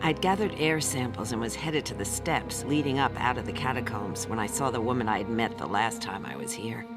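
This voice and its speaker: A woman's voice: very deep voice, animated, brisk, over music. An actress playing a cool, tough woman adventurer, narrating her story in a terse, dry, hard-boiled manner in an audio drama. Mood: cool, tense.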